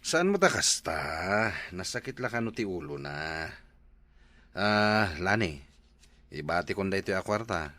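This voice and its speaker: A man's voice: deep voice, sing-song, unhurried, long pauses, pushed and bright, full character voice. A man with an Ilocano accent playing a good-natured, coaxing husband in a comedy drama, with some background noise. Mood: cheerful.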